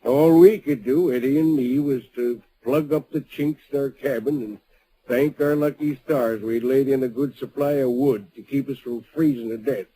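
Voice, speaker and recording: A man's voice: medium pitch, normal speed, dark tone, husky, no echo, natural voice, low-quality recording. A man narrating as a homespun old-west gold miner with frontier grammar, in a vintage radio drama. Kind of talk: 1940s radio acting.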